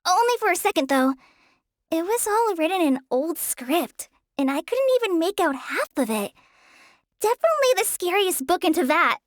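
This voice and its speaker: Bashful young ranger girl, very high pitch, earnest, halting, apologetic, game character, dry close sound. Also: very animated, no echo. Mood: hesitant, modest. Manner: hesitant, apologetic, soft-hearted, halting with stammers. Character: shy, earnest teenage girl, trainee forest ranger. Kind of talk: video game character voice lines.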